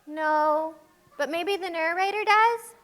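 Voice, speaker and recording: Lady doing earnest lil puppet girl, super high pitched n bright, kids show. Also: slow pace, full character voice. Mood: firm. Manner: emphatic, expressive. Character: earnest, kind, hopeful girl named Betty. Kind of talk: children's puppet show acting.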